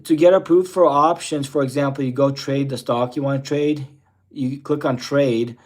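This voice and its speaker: A young man chatting loosely on a live stream. He rambles, leaning on fillers like "you know" and "type deal." His voice sits at an ordinary middle pitch. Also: fairly even intonation, normal speed. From Russia. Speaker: livestream host. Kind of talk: casual livestream chat reading viewer questions.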